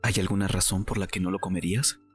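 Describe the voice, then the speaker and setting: A man plays a young noble master with a very deep voice. He speaks in a measured, formal way, composed and caring with a touch of weariness. Faint music plays under this audiobook dialogue. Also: flat delivery, lightly acted.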